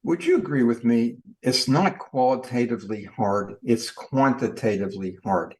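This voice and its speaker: An older man, a guest in an interview, telling lively stories with emphatic delivery. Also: mid-range voice, normal speed. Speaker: guest, industry trainer. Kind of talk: storytelling in an interview.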